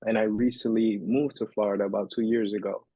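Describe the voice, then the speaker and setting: A man from the Dominican Republic tells his story as a podcast guest, loose and rambling, full of restarts and fillers. His voice is husky. It comes through dry and a little dull, like a modest home setup. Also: on the low side, level tone, a little fast, warm tone, dry recording. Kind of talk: casual interview storytelling.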